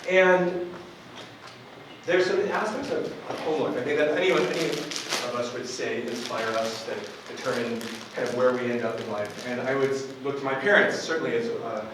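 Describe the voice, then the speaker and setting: A man in his forties from Buffalo, New York, giving a relaxed public lecture as a professor, with frequent ums and restarts. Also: medium pitch, moderate pace. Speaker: university professor. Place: campus lecture hall. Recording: echoey hall from far off with noise.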